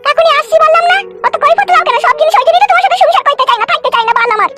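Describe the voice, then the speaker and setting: A woman voicing a stubborn young wife in a comedy cartoon, with a squeaky childlike pitched-up voice and a bossy, insistent manner. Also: expressive, rapid-fire, full character voice. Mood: bossy, annoyed. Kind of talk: amateur comedy cartoon dubbing. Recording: loud music under the voice.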